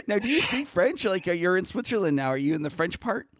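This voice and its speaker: A man co-hosting a casual interview podcast, talking in an easygoing, halting way that often restarts sentences. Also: sits high, sing-song, fairly bright tone, no room sound, clean studio sound.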